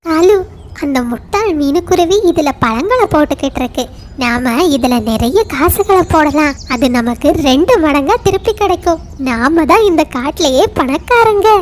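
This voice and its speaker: Woman voicing a high, scheming crow wife. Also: animated, moderate pace, a little hiss or hum. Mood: greedy scheming. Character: scheming greedy female crow wife, bossy. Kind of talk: children's cartoon story acting.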